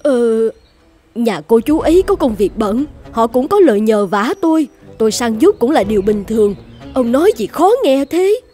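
Woman acting a high-voiced, exasperated cartoon housewife. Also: lively intonation, moderate pace, dark tone, soft background music, close to an everyday voice. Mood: defensive. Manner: protesting, talking back. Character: exasperated, plain-spoken village housewife. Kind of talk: animated fairy-tale acting.

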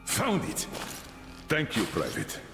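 A man voicing a gruff, businesslike colonel in a video game, with clipped military speech. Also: medium pitch, huge pitch swings, slow pace, reverberant, big-room sound, music in the background, full character voice. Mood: terse, businesslike. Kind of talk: dramatic video game dialogue.

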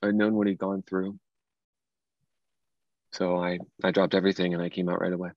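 A low male voice, close and dry, an actor as an earnest man in his late thirties carrying grief in a stage drama. He talks conversationally, and the sound is a little dull. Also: level tone, normal speed, no room sound. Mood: earnest, sorrowful. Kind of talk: table read of a dramatic script.

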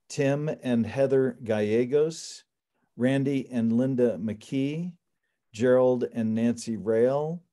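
Mellow-voiced older man, formal and measured. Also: medium pitch. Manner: measured, deliberate, enumerating points. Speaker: attorney for appellants at a public hearing. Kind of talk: formal prepared legal summary. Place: indoor room on a video call. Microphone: computer video call microphone.

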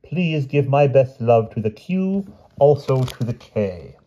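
A man's voice: medium pitch, expressive, slow, warm tone, pitched above the performer's own voice. A man voicing a dithering, eager old-fashioned king who writes poetry, with blustering upper-class verbal tics, in a storybook reading. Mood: earnest, hopeful. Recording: dull, muffled lo-fi audio.

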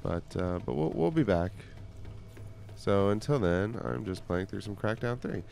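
Deep gravelly man, nasal from a cold. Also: lively intonation, a little slow, darkish tone, faint music behind. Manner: loose, rambling, jokey asides. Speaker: streamer playing a game. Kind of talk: casual gameplay commentary.

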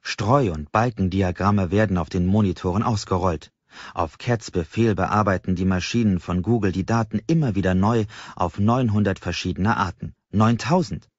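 A man with a fairly low voice narrates literary fiction. His delivery is expressive storytelling. The sound is dry, without room echo, and slightly dull. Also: normal speed. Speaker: audiobook narrator.

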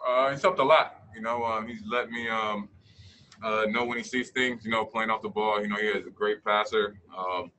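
A young man, a pro basketball player, answering post-game press questions over a video call, halting with many fillers. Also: lowish voice, steady tone, pushed and bright, slight background noise. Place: indoor media room.

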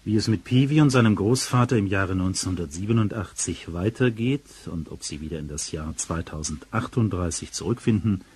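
A man with a husky voice reading a scripted announcement as a radio drama announcer. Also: low voice, brisk, few pauses, darkish tone.

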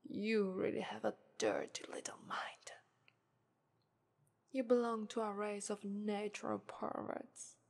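A woman's voice: medium pitch, a little mellow, full character voice. A woman voicing an arrogant, seductive female demon of lust in a whispered ASMR roleplay, with condescending, teasing taunts. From Italy. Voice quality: breathy whisper, slow and teasing. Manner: condescending, purring "ara ara" taunts. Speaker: voice actress.

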